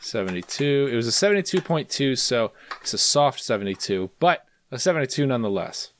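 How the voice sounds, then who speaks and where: A man's voice: medium pitch, very animated, fairly quick, a bit bright, a little hiss or hum. A man hosting a casual podcast, playful and self-mocking as he reads out scores. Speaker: podcast host.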